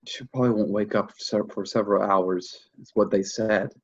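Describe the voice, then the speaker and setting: Man acting a husky, halting, shaken husband. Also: on the low side, steady tone, muffled recording. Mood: subdued, anxious. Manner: halting, hesitant, repeating himself. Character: shaken, confused husband grieving a lost pregnancy. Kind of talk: staged play reading, naturalistic drama.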